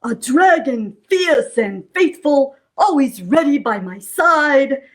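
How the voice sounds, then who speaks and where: A playful, friendly dragon from a children's puppet show, acted by a woman. The voice sits high and takes its time, reciting like a storybook with animated rises and falls. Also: takes it slowly. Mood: playful, theatrical.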